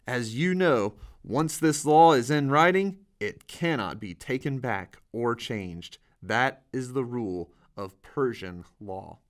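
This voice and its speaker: A man voicing a scheming, flattering young royal official in a Bible storybook reading. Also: mid-range voice, slow, few pauses. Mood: insistent.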